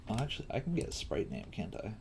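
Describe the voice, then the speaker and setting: Low, creaky-voiced man thinking aloud. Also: huge pitch swings, quick pace. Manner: musing aloud, often questioning himself. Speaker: coding teacher streaming a tutorial. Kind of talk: casual live coding, thinking aloud. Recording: faint typing and room noise. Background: computer keyboard typing and clicking.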